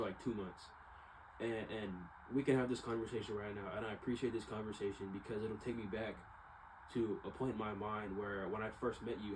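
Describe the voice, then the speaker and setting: A man's voice: fairly low pitch, background noise. A young man with an American accent, talking casually and reflectively in a rambling personal monologue, often repeating himself. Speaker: vlogger.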